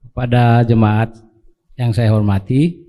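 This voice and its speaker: A man's voice: mid-range voice, flat delivery, slow, warm tone. A man with a Batak accent reading a Bible passage from the pulpit, modest and plain in his delivery. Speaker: lay scripture reader. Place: church pulpit. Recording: church hall reverb.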